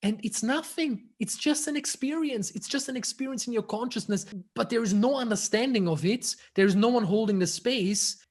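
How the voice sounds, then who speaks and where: A man's voice: very high-pitched, normal speed, few pauses, darkish tone. A man from Austria, a guest men's coach, talking frankly and swearing in a casual podcast interview, often restarting his sentences.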